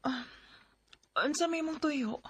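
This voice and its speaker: A Filipina with a Bisaya accent playing a gentle but frank young woman who is reluctant about being courted, in a radio drama. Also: high voice, slow, no echo. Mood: apologetic, wary.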